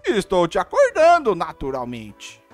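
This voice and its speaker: A woman with a Brazilian accent giving casual, expressive gameplay commentary and reading dialogue aloud as host. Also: on the low side, measured pace, soft background music. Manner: expressive, informal.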